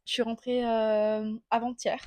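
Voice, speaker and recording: A woman in her twenties with an accent from France, talking candidly into a small clip-on mic and often pausing to hesitate. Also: on the high side, little pitch movement, dark tone, slight background noise. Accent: French from France. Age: 20s. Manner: reflective, candid, many hesitations. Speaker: vlogger. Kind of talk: casual personal monologue.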